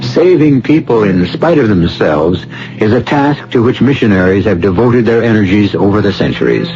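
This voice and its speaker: A man hosting and narrating a radio drama in a deliberate, wry, theatrical style. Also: lowish voice, animated. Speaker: host and narrator. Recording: muffled vintage radio, loud music behind. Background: loud dramatic music.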